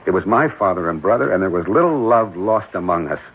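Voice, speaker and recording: A man playing a smooth, evasive young heir in an old-time radio drama, clipped and impatient, hesitant when pressed. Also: deep voice, animated, a little fast, full character voice. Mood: grim, bitter. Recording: muffled, noisy old radio broadcast recording.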